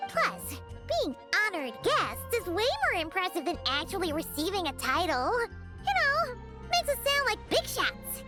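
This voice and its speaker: A cute, excitable little fairy from a video game. She speaks slowly in a very high, squeaky childlike voice, with cheeky swings of tone. Music is clearly audible behind her. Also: heavily acted. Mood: cheeky, curious. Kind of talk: video game character dialogue.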